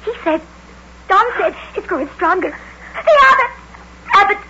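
A woman's voice: extremely high pitch, animated, normal speed, bright, forceful tone, a little hiss or hum, exaggerated voice. An actress playing a frightened young country girl in an old radio drama, emotional and earnest. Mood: terrified, pleading. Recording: muffled old radio recording.

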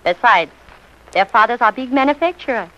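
An actress playing a shrewd, soothing middle-aged mama with a New York Yiddish-inflected accent and muddled folksy grammar, in 1940s radio comedy style. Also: female voice, on the high side, animated, fairly quick, pushed and bright. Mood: sly goading. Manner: malapropisms and inverted, folksy grammar. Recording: muffled vintage radio recording.

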